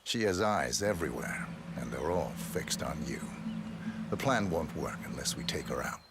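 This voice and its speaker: A very deep stoic assassin. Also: male voice, animated, normal speed, very noisy. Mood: terse. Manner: terse and clipped, few words. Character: stoic, cold male professional assassin. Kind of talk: video game cutscene acting.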